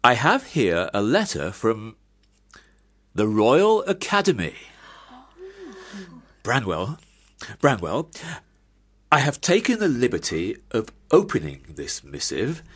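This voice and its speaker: A man's voice: mid-range voice, huge pitch swings, slow, pauses often, a bit bright, husky, exaggerated voice. An actor playing a proud, pious clergyman father in a radio drama, speaking in a formal, sermonising manner. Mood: proud, solemn. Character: proud, pious Victorian clergyman father, exclamatory and scripture-quoting.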